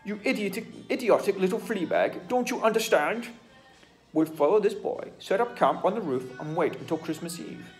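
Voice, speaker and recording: A man putting on a posh storybook villain, a greedy hunter who gloats and sneers at the top of a raised, fairly high voice. The tone is loud and scornful, pompous and plainly wicked. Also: lively intonation, moderate pace, faint music behind, rough recording. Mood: gloating. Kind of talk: bedtime storybook reading.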